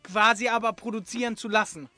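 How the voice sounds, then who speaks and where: A man's voice: sits high, fairly even intonation, a little fast, pushed and bright, dry recording, faint music behind. Playful male podcast host, dry close sound. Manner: jokey, playful. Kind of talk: casual chat.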